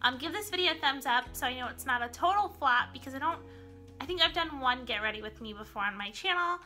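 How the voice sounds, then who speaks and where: A woman's voice: sits high, animated, fast talker, sharp tone, soft background music. A young woman chatting casually while doing her makeup in a small bedroom, a bit nasal from a cold and rambling with lots of ums and likes. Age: young adult. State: sick, slightly nasal. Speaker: beauty vlogger. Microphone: camera in front, close framing.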